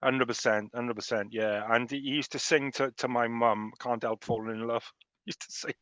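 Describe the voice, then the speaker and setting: A man's voice: mid-range voice, steady tone, normal speed, fairly bright tone, no room sound. A man in his fifties with a Welsh accent, chatting as a guest on a call and telling stories with chuckles and restarts. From South Wales. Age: 50s. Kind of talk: casual remote interview.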